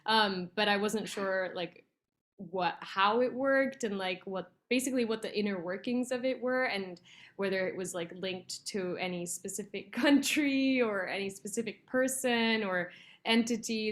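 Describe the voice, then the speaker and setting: A woman's voice: medium pitch, measured pace. A young woman from Argentina, a guest on an interview, chatting casually with frequent laughs and filler words. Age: young adult. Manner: chatty, laughs often, many ums and likes. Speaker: guest, translator.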